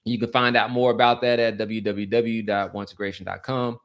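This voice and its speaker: A man hosting a sports podcast in a casual, conversational way, rambling a little and pausing to search for words. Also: lowish voice, level tone. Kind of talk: casual sports chat and community sign-off.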